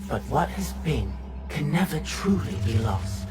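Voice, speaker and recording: An actor playing an ominous, composed guide to memories in a video game, speaking in a measured, enigmatic manner. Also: male voice, fairly high pitch, sing-song. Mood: cryptic, composed. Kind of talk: scripted game narration. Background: music.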